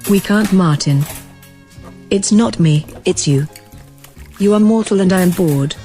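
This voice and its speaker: Haughty female future AI, played by a woman: lowish pitch, precise, condescending, unemotional, sci-fi audio comedy over prominent music. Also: a little slow, mellow, close to an everyday voice. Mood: detached. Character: haughty, bored immortal female artificial intelligence from the future. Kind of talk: scripted sci-fi comedy monologue and drama.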